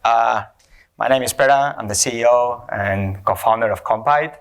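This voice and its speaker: A man's voice: mid-range voice, moderate pace, vocal fry. Spanish-accented man presenting at a conference. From Spain. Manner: says "okay?" and "you know" often, restarts phrases. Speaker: conference speaker, company CEO. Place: conference stage before an audience.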